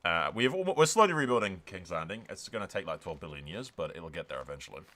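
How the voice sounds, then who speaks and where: A man's voice: low-pitched, very animated, fast, pushed and bright. A man giving casual, sweary game commentary, slipping into mock-grandiose role-play narration. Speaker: gaming video host.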